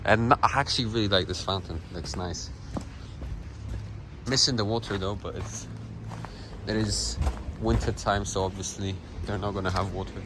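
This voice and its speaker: A man's voice: on the low side, steady tone, moderate pace. A young man from Poland with a Polish accent, speaking English as a second language, rambling through a casual walking-tour vlog on a phone outdoors. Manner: rambling, self-correcting. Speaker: travel vlogger. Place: outdoor town square. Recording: outdoor street noise.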